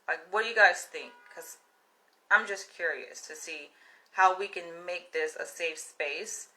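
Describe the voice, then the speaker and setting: A woman's voice: lowish voice, pushed and bright. A young woman with a Southern American accent, chatting casually to her viewers and calling them "you guys" again and again. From Southern United States. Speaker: vlogger. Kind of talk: casual direct-to-camera chat.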